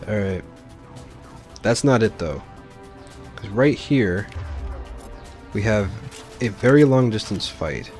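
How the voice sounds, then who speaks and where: Lowish-voiced man doing casual game commentary. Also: animated, slow, pauses often, a little mellow, soft background music. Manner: informal, explains game choices as he plays. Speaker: gaming video host. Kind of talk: casual let's play commentary.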